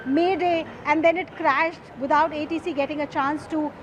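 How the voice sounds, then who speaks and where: Clearly noisy outdoor field audio carries a high-pitched woman reporter with an Indian accent. She reports live, explaining things emphatically. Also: steady tone, normal speed. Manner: emphatic, explanatory, rhetorical questions. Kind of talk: live on-location news reporting.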